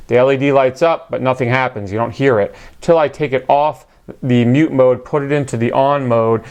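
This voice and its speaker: A man's voice: medium pitch, clear, resonant tone. A man narrating a product review in a casual, unscripted way, explaining things in a conversational, matter-of-fact manner.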